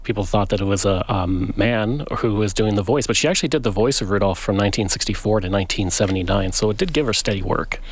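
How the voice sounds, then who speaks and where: A man's voice: fairly low pitch, fast, hardly any pauses, husky. A man with a Canadian accent explaining history casually and informatively as a guest in a radio studio interview. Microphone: studio microphone.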